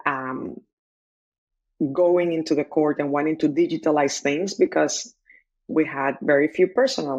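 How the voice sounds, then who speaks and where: A woman from the Republic, telling her story as a guest in an interview, fluent and animated with fillers and restarts. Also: deep voice, muffled recording. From Dominican Republic. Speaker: guest, tech entrepreneur.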